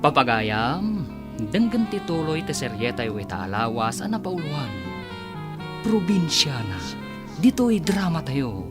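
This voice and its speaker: A man's voice: on the high side, very animated, measured pace, over loud music. A man with a Filipino accent narrating a scripted radio drama.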